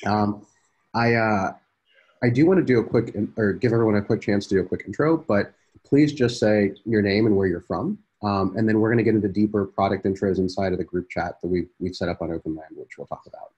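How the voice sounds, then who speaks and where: Guy in his 30s running a zoom session, kinda husky, lots of ums. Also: fairly low pitch, fairly quick, lo-fi sound. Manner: relaxed, many fillers. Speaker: program host. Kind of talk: casual video call presentation. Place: indoor room. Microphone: laptop video call.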